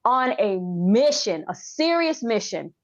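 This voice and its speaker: Dull-sounding, high-voiced woman interviewer. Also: very animated, slow pace. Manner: affirming, echoes the guest. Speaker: podcast interviewer. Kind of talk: casual conversational interviewing. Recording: dull, lo-fi voice audio.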